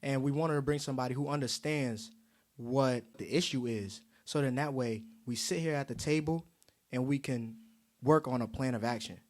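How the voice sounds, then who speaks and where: A man's voice: medium pitch, normal speed. A young man from the United States hosting a podcast in a casual, colloquial way. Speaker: podcast host.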